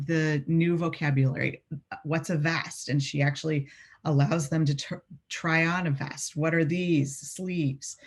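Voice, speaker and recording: A woman education instructor giving informal lecture commentary in a conversational way. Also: low-pitched.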